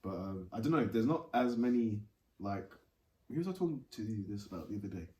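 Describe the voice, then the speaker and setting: A man hosting a casual group chat podcast, talking in a halting way with many fillers. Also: lowish voice, expressive, darkish tone, distant mic. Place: room with several guests. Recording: roomy and off-mic.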